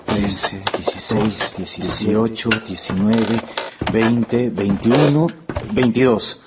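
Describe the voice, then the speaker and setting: A man's voice: on the low side, steady tone, slow pace, heavy background noise. An actor playing a polite young male historian in a radio drama, tentative and courteous. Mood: careful counting.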